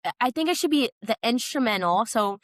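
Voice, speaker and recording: A young woman with a fairly high voice talks casually as a podcast co-host. She is animated and playful, telling things with some drama. The sound is close and dry. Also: a little fast, no echo. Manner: animated, playful, dramatic retelling with acted-out bits. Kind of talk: casual pop-culture chat with a co-host.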